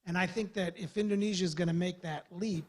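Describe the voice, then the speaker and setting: Husky American official on a panel. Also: male voice, sits high, fairly even intonation, fast, darkish tone. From USA. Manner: earnest, rambling with restarts. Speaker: government development official. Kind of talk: panel discussion remarks.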